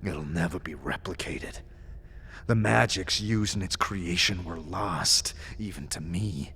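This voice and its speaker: A man's voice: sits low, a little slow, bright, forceful tone, a little hiss or hum, voice pushed down, full character voice. A man voicing a paranoid, mad earlier self of an immortal man in an audio drama, erratic between sly muttering and frantic outbursts. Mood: sly pride.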